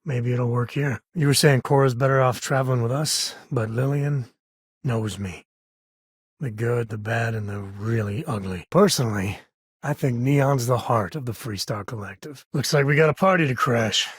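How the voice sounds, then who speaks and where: A man performs a rugged, warm-hearted frontier ranger and devoted dad from a video game. He talks in a folksy, laid-back way with dry wit. His mid-pitched voice has a husky edge and is recorded very dry. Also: normal speed, dry recording, lightly acted. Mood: wry. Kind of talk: video game voice acting, companion dialogue.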